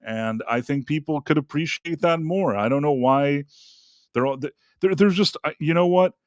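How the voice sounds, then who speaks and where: A man's voice: medium pitch, no echo, clean studio sound. A man co-hosting a casual live stream, rambling and trailing off into restarts as he reads viewer messages. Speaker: co-host.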